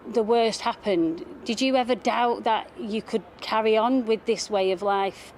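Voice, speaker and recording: A woman's voice: on the high side, unhurried, a little mellow, a little hiss or hum. A woman asks questions as an entertainment correspondent in a conversational broadcast interview.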